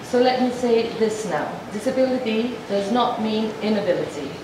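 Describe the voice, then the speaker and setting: A woman's voice: a little high, lively intonation. Woman interpreter in an echoing hall. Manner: measured, with frequent halting restarts. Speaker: sign language interpreter voicing a speech. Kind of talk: formal address to an assembly, interpreted aloud. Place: large assembly hall. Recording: echoey hall from far off, noisy.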